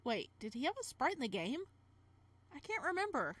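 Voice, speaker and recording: A woman hosting a casual visual novel playthrough, reading narration aloud and adding playful, expressive side comments. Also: high voice, normal speed. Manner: expressive, playful reactions between read passages.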